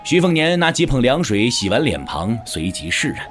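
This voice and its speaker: A man narrating a martial-arts novel as a dramatic audiobook, with a measured, expressive storyteller's delivery. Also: mid-range voice. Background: background music.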